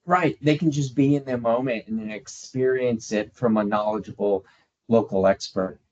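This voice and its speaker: A man's voice: mid-range voice, moderate pace, darkish tone. Male host, cheerful promotional tone, slightly muffled video call audio. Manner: upbeat, polite, promotional. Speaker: webinar host. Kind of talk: hosting a travel webinar.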